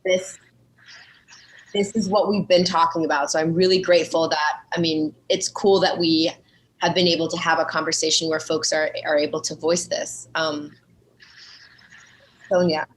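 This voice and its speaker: A woman's voice: sits low, fairly even intonation, moderate pace, long pauses, low-quality recording. A woman casually moderating an online meetup as its host, speaking over a video call. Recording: light background noise on call.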